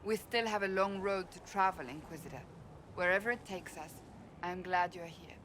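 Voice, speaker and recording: A woman's voice: lowish voice, moderate pace, a bit bright, a little hiss or hum, lightly acted. An actress playing a stern, proud woman warrior in a video game, speaking in an earnest, emphatic, formal way. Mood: sincere admiration. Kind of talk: video game cutscene acting.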